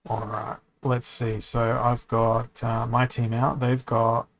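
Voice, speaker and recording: A man streaming a card game, thinking aloud as he plays, often correcting himself and pausing to hesitate. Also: medium pitch, little pitch movement. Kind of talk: casual commentary while playing a card game.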